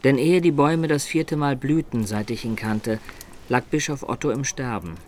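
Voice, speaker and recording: A man's voice: mid-range voice, normal speed, a little hiss or hum, lightly acted. A man acting a medieval bishop's scribe and pupil in a radio play. He speaks in a mid-range voice, with an earnest, curious and literary manner. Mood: reflective. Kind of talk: radio play narration and dialogue.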